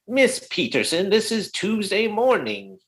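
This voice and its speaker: A woman's voice: medium pitch, sing-song, loud music behind the voice. A woman playing a grumpy, pompous male office boss with a stern, sarcastic manner in a 1950s-style radio comedy. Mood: exasperated, sarcastic. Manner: stern, withering, deliberate.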